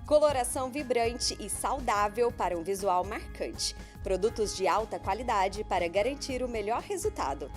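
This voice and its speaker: A woman's voice: medium pitch, expressive, normal speed, few pauses. A woman doing a polished, upbeat commercial voice-over for a beauty salon. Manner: upbeat, polished salesy read. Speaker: ad announcer. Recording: studio voice-over over music.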